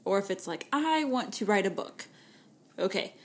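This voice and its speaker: A woman with a Texan accent, co-hosting a casual faith-based podcast in a lively, playful way, with quick asides. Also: medium pitch. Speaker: podcast co-host.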